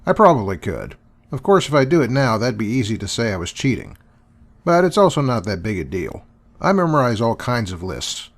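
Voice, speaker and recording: A man's voice: mid-range voice, sing-song, brisk, a little hiss or hum. A man hosting and answering questions in a dry, sarcastic, dismissive manner. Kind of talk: sarcastic commentary.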